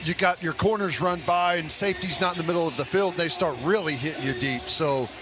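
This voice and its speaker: American man as football color commentator, slightly high-pitched, informal and explanatory, talking plainly through the tactics. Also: a little fast, over music. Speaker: radio football color analyst. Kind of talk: informal live sports analysis.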